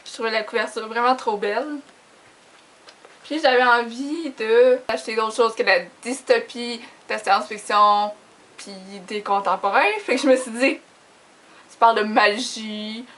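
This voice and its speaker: A woman's voice: on the high side, steady tone, moderate pace, a bit bright. A young woman with a Quebec French accent chatting casually and informally to camera as a book vlogger, in a giggly, self-deprecating way. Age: young adult.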